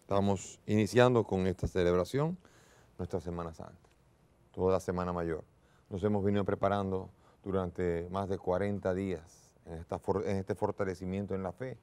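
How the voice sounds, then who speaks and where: Older man, bishop, low voice, solemn liturgical prayer, church altar mic. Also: fairly even intonation, a little mellow, smooth. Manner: solemn, measured liturgical delivery. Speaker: bishop celebrating Mass. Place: large church, indoors.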